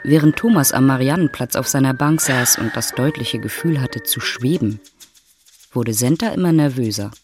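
A male actor plays a detached storyteller in a radio drama. He delivers literary narration in a mid-pitched voice. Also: normal speed, soft background music, natural voice.

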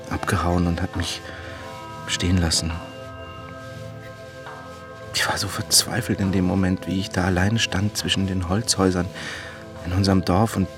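A man's voice: low voice, close to an everyday voice. An actor in a radio play playing an eccentric, earnest man, confessional and fussy, given to agitated repetition. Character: eccentric, earnest man, sentimental, prone to hysterical outrage. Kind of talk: radio play monologue and dialogue.

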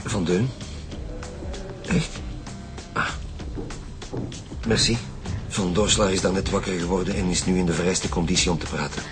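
A man's voice: low-pitched, fairly even intonation, moderate pace, pauses often, over loud music, close to an everyday voice. A man with a Flemish accent playing a blunt, suspicious chief police detective in a naturalistic radio crime drama. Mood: brisk. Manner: blunt, probing, authoritative.

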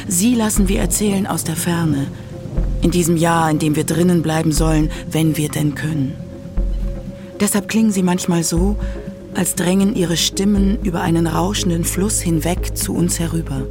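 A narrator reading a scripted radio documentary in a measured literary manner. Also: mid-range voice, steady tone, music in the background.

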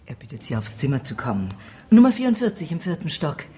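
An obliging, friendly hotel receptionist in a radio play. Her voice is low and dark, with very lively melody, and she speaks courteously. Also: fast talker. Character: friendly, polite female hotel receptionist in Rome. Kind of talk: radio drama dialogue.

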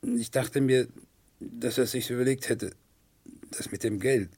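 Distraught accused killer, halting and anguished, gravelly raspy voice, old crime radio drama. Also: male voice, medium pitch, steady tone, darkish tone. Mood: defensive. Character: distraught, haunted male murder suspect, pleading innocence. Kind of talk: vintage German radio crime play acting.

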